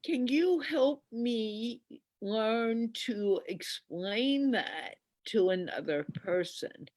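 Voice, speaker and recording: A woman hosting a conversational interview, speaking in a deliberate, affirming way. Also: on the high side, animated, a little mellow, dry recording. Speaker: host.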